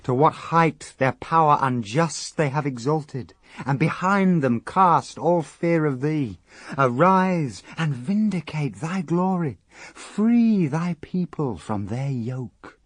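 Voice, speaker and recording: A man's voice: fairly high pitch, animated, takes it slowly, dry recording. A man playing an anguished, devout fisherman disciple, lamenting and declaiming solemnly in radio verse drama. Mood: fervent pleading.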